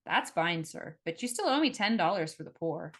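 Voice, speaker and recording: A woman's voice: on the low side, lively intonation, moderate pace. A woman plays a female bartender in a fantasy audiobook who stands her ground. She speaks bluntly and directly, always guarded and a little defiant. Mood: guarded, polite. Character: confrontational young female bartender, wary and defiant.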